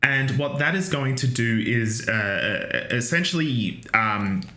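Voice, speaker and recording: A man lectures on anatomy with a bright, forward tone. He talks casually, trailing into fillers and restarts, and drops in joking asides. The sound carries the echo of a large room. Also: medium pitch, lively intonation, slow pace. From Australia. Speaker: university lecturer.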